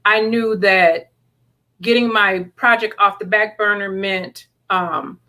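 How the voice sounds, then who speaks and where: A woman's voice: mid-range voice, steady tone, slow pace, pushed and bright. A woman speaking as a guest author, answering in a conversational, sincere and reflective way about matters of faith.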